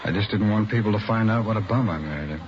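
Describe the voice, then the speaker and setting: A man's voice: lowish voice, fast, natural voice. An American actor playing a distraught, evasive working man and father in a vintage radio crime drama, hesitant and plain-spoken. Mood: bitter, dejected. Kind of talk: mid-century radio crime drama acting. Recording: muffled, noisy vintage radio recording.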